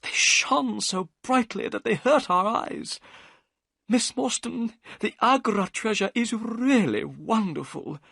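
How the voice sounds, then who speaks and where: An excitable, chattering gentleman, as a storyteller plays him talks in a raised, lively voice full of eager swings. The tone is a little breathy and recorded close and dry. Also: mid-range voice, normal speed, no room sound, pitched above the performer's own voice. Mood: excited. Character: nervous, talkative middle-aged gentleman.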